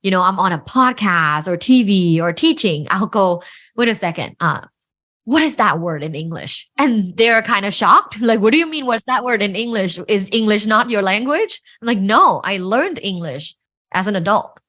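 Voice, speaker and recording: A woman's voice: medium pitch, lively intonation, moderate pace, fairly bright tone. A woman from Thailand whose first language is Thai, a guest telling stories in a casual interview. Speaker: guest, relationship researcher.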